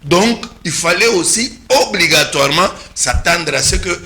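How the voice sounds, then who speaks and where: Bright-voiced Congolese man explaining politics. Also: fairly high pitch, lively intonation. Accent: Congolese French. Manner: measured, explanatory, mixing French and Lingala. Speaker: political commentator. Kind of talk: studio political discussion.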